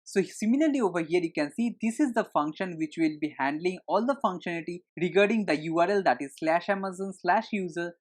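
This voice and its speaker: A woman's voice: on the low side, quick pace, hardly any pauses. A woman narrating a screencast tutorial as an instructor, explaining things step by step in an instructional way.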